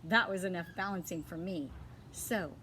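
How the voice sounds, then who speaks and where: A woman reading a children's chapter book aloud like a teacher, with expressive, emphatic phrasing. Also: fairly low pitch, moderate pace, a little hiss or hum, low-quality recording.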